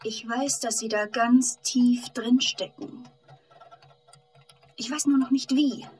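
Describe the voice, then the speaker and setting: A woman acting in a radio play as a persistent, concerned reporter, probing and earnest, with a husky voice. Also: on the high side, fairly even intonation, slow, darkish tone, faint music behind. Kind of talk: German radio drama acting.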